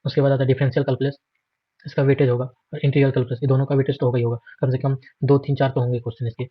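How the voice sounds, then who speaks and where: An Indian man speaks as an exam prep instructor in a quick, monotone delivery. He runs through lists in an informal, matter-of-fact way, dropping in English terms. The recording is slightly muffled and lo-fi. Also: medium pitch, husky, no room sound. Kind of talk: informal screen walkthrough lesson.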